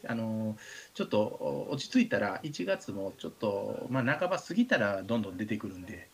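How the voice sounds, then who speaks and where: A man with a Kansai accent chatting casually as a radio host, telling comic anecdotes with acted-out dialogue. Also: fairly low pitch. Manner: animated storytelling with acted-out dialogue. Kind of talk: casual comedic anecdote chat.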